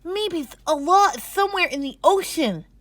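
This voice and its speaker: A woman voicing a small, cheerful, inquisitive duck companion in a children's storybook, with a squeaky voice. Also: expressive, normal speed. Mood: hopeful.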